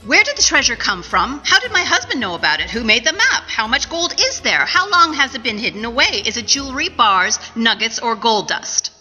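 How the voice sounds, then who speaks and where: A woman plays a melodramatic, literal-minded widow in a radio comedy. Her voice is very bright and lively, swinging widely in pitch. The hall rings around her, with music behind. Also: brisk, few pauses, breathy edge, heavily acted. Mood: inquisitive. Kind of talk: comic radio play acting.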